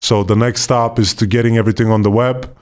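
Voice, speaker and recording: A man from Poland co-hosting a casual podcast, talking in a relaxed, rambling and enthusiastic way. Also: mid-range voice, quick pace. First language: not Polish; left Poland at five. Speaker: podcast co-host, former basketball player.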